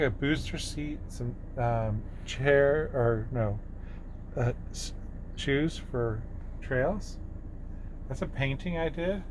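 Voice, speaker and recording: Halting man rambling in his car. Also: medium pitch. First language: Spanish. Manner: halting, many fillers and restarts. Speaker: vlogger. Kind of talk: rambling casual vlog. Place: inside a parked car. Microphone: phone camera mounted on the dashboard. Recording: vehicle noise behind the voice. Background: car and street ambience.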